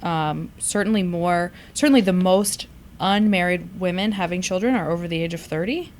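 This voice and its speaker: Woman podcast host, slightly low voice. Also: normal speed, darkish tone, a little hiss or hum. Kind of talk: conversational podcast discussion.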